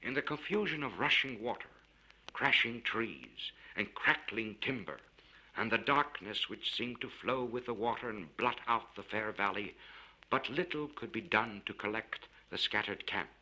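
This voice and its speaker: A man reading a literary story aloud in a measured, theatrical and expressive way, recorded for a vintage spoken-word LP. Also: lowish voice, poor audio. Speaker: audiobook narrator.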